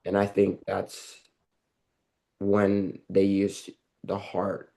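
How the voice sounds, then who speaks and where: Low, gravelly man answering in class. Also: completely flat delivery, extremely slow, mellow. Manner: hesitant, filler-heavy when speaking freely. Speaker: class student. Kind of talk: reciting catechism answers, then explaining.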